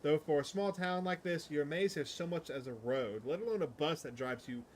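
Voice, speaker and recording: A man's voice: a little high, brisk, darkish tone, a little hiss or hum. A man livestreaming a game, chatting casually and reading text aloud in a chatty, easily amused way. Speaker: streamer playing a visual novel. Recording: music playing behind the voice.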